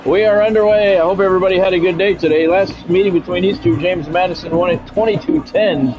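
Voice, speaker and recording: Higher-pitched American man calling a football game. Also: steady tone, quick pace. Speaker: game streamer, play-by-play commentator. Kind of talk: live sports-style commentary mixed with casual chat. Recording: dull lo-fi sound with music behind.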